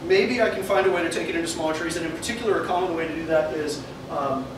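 A young man giving a meetup talk at a whiteboard, animated and laughing often as he thinks aloud and takes questions. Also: a little high, fast. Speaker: meetup speaker. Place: meeting room with an audience. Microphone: far camera mic. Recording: echoey room from far off, noisy.

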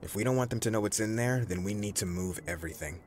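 A man's voice: on the low side, fast, close to an everyday voice. A man playing a loyal, anxious right-hand employee in an audio roleplay, earnest and respectful. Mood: anxious, apologetic. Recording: roomy echo, faint background noise.

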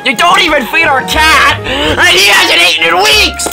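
A performer voicing a whiny, exasperated cartoon minion creature, shrill and complaining. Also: expressive, unhurried, creaky, music in the background, full character voice. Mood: indignant. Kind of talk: comedic cartoon character voice acting.

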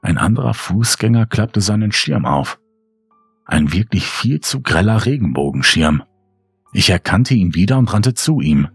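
A man with a husky, breathy voice reads a scripted horror story in a measured, suspenseful way with deliberate pauses. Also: lowish voice, big pitch swings, no echo, soft background music. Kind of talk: dramatic scripted audiobook narration.

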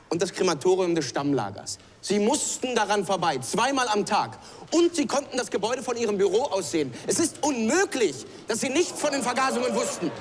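A young prosecutor in a period courtroom drama, high-pitched and formally accusing. His determined words ring out through a long, noisy hall echo. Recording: echoey courtroom film sound with noise.

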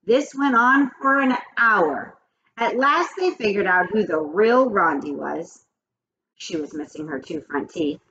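A woman in her forties, a teacher, reading a children's chapter book aloud in an expressive, animated way at a webcam in a small home office. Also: mid-range voice, unhurried, slight background noise. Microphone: webcam at desk. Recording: dull lo-fi webcam audio.

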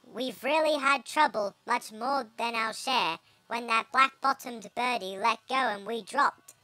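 A man's voice: very high voice, measured pace, pushed and bright, dry recording, pitched above the performer's own voice, heavily acted. A man voicing a tiny, polite, grateful storybook mayor who speaks in earnest, formal rhyme. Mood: distressed, pleading. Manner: earnest, formal rhyming speech. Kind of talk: storybook character voice.

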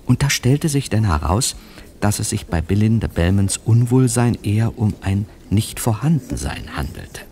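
An actor playing a chatty, slightly ironic newspaper reporter and obliging sidekick in a German radio play. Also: male voice, lowish voice, sing-song, moderate pace, dark tone, a little hiss or hum. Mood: narrating.

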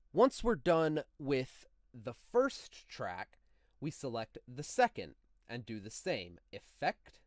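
A man narrating a step-by-step software tutorial in a deliberate, instructional manner, as a close, dry voice-over. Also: mid-range voice, dry recording. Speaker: tutorial host.

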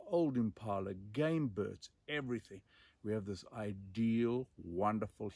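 A man in his sixties with a Zimbabwean accent tells stories emphatically, pausing and repeating words for dramatic effect. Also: lowish voice, creaky, husky, no echo. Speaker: storyteller and host. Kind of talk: animated outdoor storytelling. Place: outdoors in dense bush.

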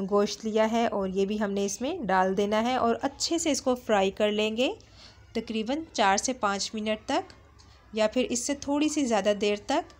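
A woman, narrating a home cooking recipe as a channel host, in a friendly, instructive way with small fillers. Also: fairly high pitch. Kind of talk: step-by-step cooking voice-over. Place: home kitchen.

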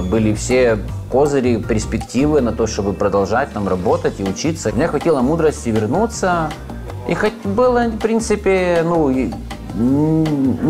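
Thoughtful man, hesitant, over background music. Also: medium pitch. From Uzhhorod, western Ukraine. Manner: thoughtful, hesitant, with frequent filler sounds and self-corrections. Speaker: guest, author. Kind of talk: relaxed conversational interview. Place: cozy indoor room full of wall clocks, seated at a table.

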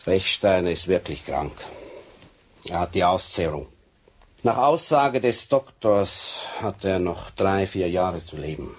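A methodical police sergeant in a radio crime drama. His voice is low and husky. He lays things out step by step, deliberate and insistent. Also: animated, full character voice. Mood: methodical, pointed. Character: methodical Swiss police sergeant laying out a case.

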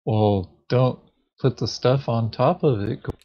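A man's voice: medium pitch, unhurried, highs cut off. A man playing a board game, chatting casually in a dry, laconic way. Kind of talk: casual gaming chat.

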